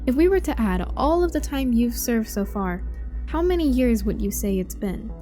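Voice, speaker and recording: An actress playing an earnest young trainee prison technician in an audio drama, probing and firm in a measured way. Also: female voice, on the high side, animated, dark tone, soft background music, close to an everyday voice. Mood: formal, calm. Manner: measured, formal when questioning.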